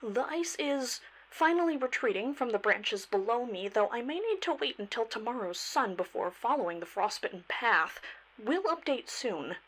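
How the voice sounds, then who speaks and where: Woman acting female field naturalist for fiction podcast: slightly high register, measured, inquisitive, narrating an expedition log. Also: sing-song, fairly bright tone, rough recording. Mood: determined. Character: woman field naturalist, doctor, recounting a strange perilous expedition. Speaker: voice actor. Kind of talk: first-person field report narration.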